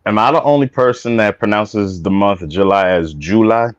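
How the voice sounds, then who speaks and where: A man's voice: on the low side, moderate pace, no echo. A man with an American accent in casual, joking conversation as a panelist, on a dull lo-fi connection. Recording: close dry mic, dull lo-fi audio.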